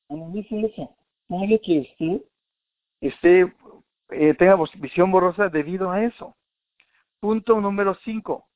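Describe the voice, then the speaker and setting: A thin, phone-like recording carries a man's notably high voice, with very little room sound around it. He presents health information informally, like a teacher going through points, and speaks directly to the listener. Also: no echo. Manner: didactic, addressing the listener directly. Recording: narrow, tinny phone-like sound.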